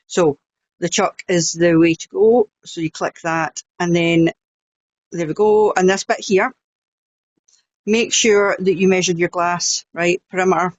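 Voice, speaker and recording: A woman's voice: lowish voice, fairly even intonation, unhurried, no room sound, muffled recording. A woman with a Scottish accent, chatting her way through a step-by-step crafting tutorial with blunt, frank asides. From Scotland.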